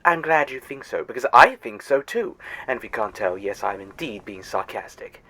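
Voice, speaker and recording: Male actor as an arrogant alien Eternal, smug and scornful, very animated pitch, mid voice. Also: moderate pace. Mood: sarcastic. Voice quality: sarcastic, condescending. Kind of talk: fan audio drama.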